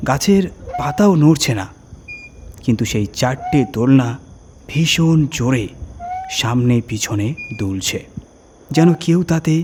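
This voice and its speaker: A man with a husky voice, narrating a scary first-person story with suspenseful, deliberate phrasing and dramatic emphasis. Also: medium pitch, darkish tone, slight background noise.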